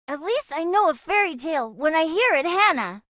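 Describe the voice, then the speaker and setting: Woman as high-pitched, cocky young boy. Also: big pitch swings, moderate pace, a bit bright, dry recording, clean studio sound, exaggerated voice. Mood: scornful. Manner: argumentative, scornful, emphatic. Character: cocky, skeptical young boy, a teasing older brother.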